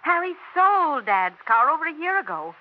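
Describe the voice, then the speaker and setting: A woman's voice: high voice, very animated, normal speed. An actress playing an impatient adult daughter and busy mother in a 1960s radio drama. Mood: exasperated. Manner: impatient, exasperated. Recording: dull lo-fi old radio recording.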